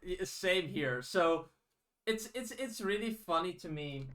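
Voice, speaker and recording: A man's voice: high-pitched, expressive, a little slow, fairly bright tone. A native Dutch man speaking English as a podcast host, casual and enthusiastic.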